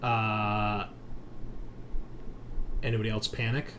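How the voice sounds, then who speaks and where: A man's voice: on the low side, little pitch movement, slow, fairly bright tone. A man running a tabletop roleplaying game, moving between conversational rulings and improvised scene narration. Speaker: game master. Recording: clear background noise.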